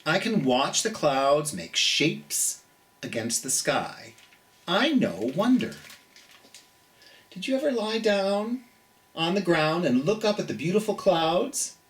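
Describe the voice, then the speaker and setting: An American children's storytime host with a medium-pitched voice, reading and chatting at a slow pace. The delivery is gentle and theatrical, with pitch that swings widely from phrase to phrase. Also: recorded from a distance. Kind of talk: children's picture-book read-aloud with chatty asides.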